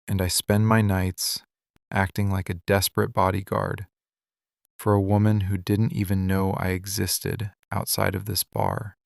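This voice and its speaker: Man acting a slow, gravelly, possessive mafia boss. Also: sits low, level tone, dry recording, studio quality. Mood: weary confession. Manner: slow, deliberate, intimate delivery in short phrases. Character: possessive, lovesick mafia boss, weary and intense. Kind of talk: scripted boyfriend ASMR roleplay.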